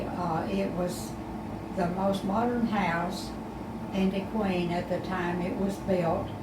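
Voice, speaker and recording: Elderly woman, near 90, halting with fillers; echoey room sound. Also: on the low side. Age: older, near ninety. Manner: halting speech with many fillers. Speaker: interviewee, homeowner. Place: a private home. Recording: roomy sound, distant mic, loud background noise.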